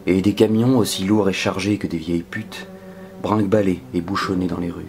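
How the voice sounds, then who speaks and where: A man performing a dramatic spoken-word poetry reading in a solemn, measured manner that can sink toward a whisper. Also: sits low, steady tone. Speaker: poetry reader.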